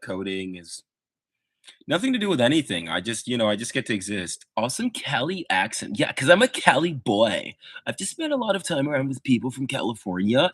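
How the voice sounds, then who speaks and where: A man's voice: mid-range voice, no room sound. A young man with a Midwestern American accent, chatting in a rambling, laid-back way with lots of fillers on a live stream. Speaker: livestreamer. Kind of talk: casual live stream chat to chat viewers.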